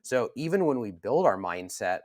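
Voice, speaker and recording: A man with a fairly low voice talks through ideas in a casual podcast chat, earnest and animated, often doubling back on his words. The sound is dry and close, with no room around it. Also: normal speed, no echo. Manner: earnest, animated, frequent restarts and repeated words. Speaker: podcast co-host.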